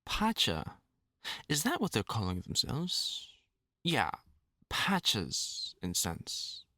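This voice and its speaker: Male actor as polite British magician for urban fantasy audio drama; bright, lively delivery, formal and apologetic. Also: mid-range voice, slow pace, no echo, clean studio sound, heavily acted. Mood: calm, explanatory. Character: courteous male magician, apologetic rescuer patiently explaining magical danger. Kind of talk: character audio drama monologue.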